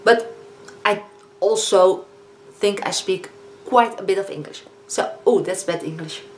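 A woman in her fifties with a Dutch accent, chatting casually as a beauty vlogger reviewing products, often pausing on fillers and correcting herself. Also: medium pitch. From Netherlands. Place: living room, indoors. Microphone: camera in front of a seated speaker. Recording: echoey room with faint hiss.

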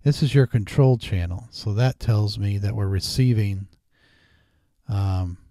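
A man hosting a casual screen-recorded software tutorial, explaining each step informally. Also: on the low side, unhurried, no echo, clean studio sound. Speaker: tutorial host. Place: indoors at a desk.

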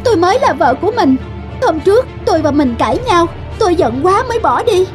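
A woman's voice: extremely high pitch, very animated, fairly quick, over music. A woman playing an indignant, emotional young peasant wife in a cartoon, speaking insistently. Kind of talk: Vietnamese cartoon dialogue.

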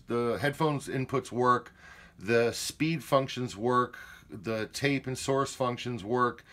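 A man's voice: medium pitch, fairly bright tone. A man talking casually and matter-of-factly as he gives an unscripted product demonstration. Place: indoors, off camera beside a tape deck. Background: music.

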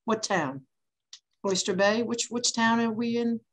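A woman in an online meeting, speaking haltingly as she thinks aloud. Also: fairly high pitch. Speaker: meeting participant. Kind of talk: meeting discussion. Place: video conference meeting.

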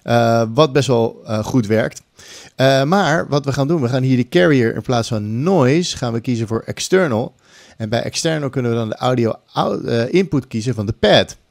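A man's voice: medium pitch, animated, moderate pace, vocal fry, darkish tone, no echo. A middle-aged man gives a casual, informal tutorial, slipping English phrases in, close to a condenser mic. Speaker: music production tutor. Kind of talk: casual screen-recorded tutorial. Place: small room at home. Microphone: large condenser mic on a boom arm, close up. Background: music loops.

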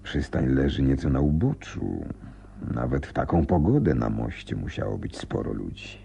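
Shrewd vengeful detective, radio drama, dark mellow tone, lively dramatic delivery, deliberate. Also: male voice, a bass voice, creaky, slight background noise, heavily acted. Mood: resolute. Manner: deliberate, self-assured, dramatic. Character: shrewd, determined male detective, coolly vengeful. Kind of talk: Polish radio drama acting.

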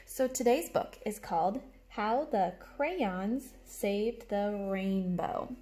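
A young adult woman reading a storybook aloud to children like a teacher, with animated, explanatory asides, recorded in a room at home. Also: medium pitch, slow pace. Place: small room at home, indoors. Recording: clear background noise, room ambience.

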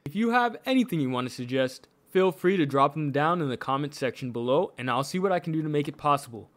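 A man's voice: fairly high pitch, animated, fast talker, a bit bright. An American man from New York with a faint New York accent, narrating a scripted video in a slangy, playful, informal way as a gaming YouTuber. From New York, USA.

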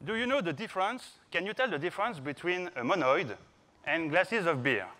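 A man's voice: high-pitched, expressive, a little fast, pushed and bright. A man in his thirties with a French accent, giving a playful, informal conference talk to an audience. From France. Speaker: conference speaker, software developer. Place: large conference hall stage, indoors.

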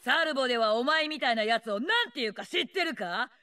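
Older female warlord, video game villainy, high-pitched, sharp forceful edge, snarling, rough slang, brash, mocking, bloodthirsty. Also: lively intonation, fast talker. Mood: scornful taunting. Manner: rough slangy taunting, cackling and menacing. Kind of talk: video game character voice lines.

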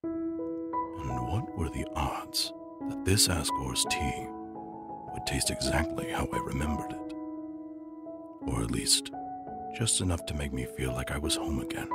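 Very deep breathy skeleton scientist acted by a man, slow, brooding, melancholy, formal, comic dub, loud music behind. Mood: wistful. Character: melancholy, lonely exiled skeleton scientist, a grieving father. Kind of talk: dramatic comic-dub monologue.

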